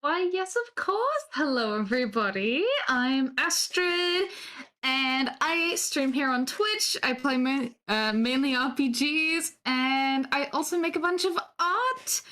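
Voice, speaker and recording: High-voiced, slow-talking stuffy woman. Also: animated, pushed and bright. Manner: giggly, playful. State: stuffy from a cold. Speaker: player.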